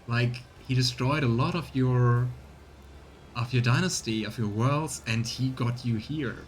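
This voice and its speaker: A man's voice: medium pitch, unhurried. A man giving casual live game commentary, musing and grumbling as he goes. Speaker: let's play streamer. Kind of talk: casual live game commentary, sometimes reading game text aloud.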